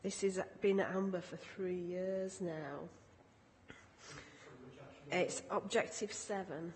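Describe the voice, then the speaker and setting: Croaky, slow-speaking woman in an echoey hall. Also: fairly low pitch, steady tone, a little mellow. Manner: hesitant, halting. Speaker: councillor on a scrutiny committee. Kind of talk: formal committee questioning. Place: large indoor council meeting room. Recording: echoey hall with background noise.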